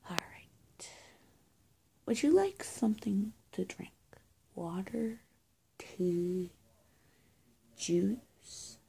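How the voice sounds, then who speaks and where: A young woman plays a friendly teenage good witch in a homemade roleplay. Her voice is husky and quite creaky, with a mellow, muted tone. She talks casually and haltingly, straight to the listener, often sinking to a near-whisper. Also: medium pitch, lively intonation. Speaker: roleplay video creator. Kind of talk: soft-spoken improvised roleplay, addressing the viewer. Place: small indoor room, plain walls. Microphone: phone or webcam at arm's length.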